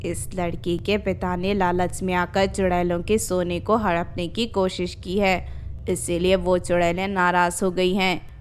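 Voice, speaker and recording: Woman voicing a grave, low-pitched sorceress. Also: flat delivery, a little slow, slight background noise, close to an everyday voice.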